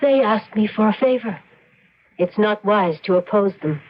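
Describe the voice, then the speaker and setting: A woman's voice: mid-range voice, animated, moderate pace, faint music behind, natural voice. Actress as sly, seductive mystery woman, muffled radio. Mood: knowing. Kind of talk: old-time radio drama acting. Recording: dull, noisy old radio recording.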